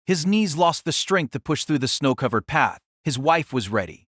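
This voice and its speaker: A man reads a dramatic novel as narrator, his fairly high voice moving through wide swings of pitch. The sound is very dry, and the delivery is scripted audio drama storytelling. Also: big pitch swings, normal speed, a bit bright, breathy edge, dry recording.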